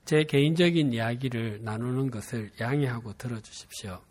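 A man in his fifties from Busan with a Gyeongsang dialect accent, preaching a sermon and telling a personal story in a measured, earnest way. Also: medium pitch, a little mellow. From Busan, South Korea. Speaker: pastor.

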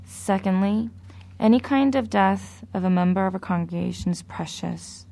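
A woman preaching a sermon in a slow, measured, deliberate way. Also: medium pitch, vocal fry, warm tone.

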